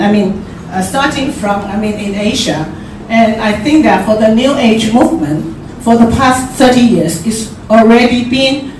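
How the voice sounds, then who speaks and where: A middle-aged woman with a Taiwanese accent giving an informal forum talk, haltingly, searching for words. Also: fairly high pitch, a little mellow. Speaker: forum speaker. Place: large echoey indoor room at a forum. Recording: very echoey room, distant mic, clear noise.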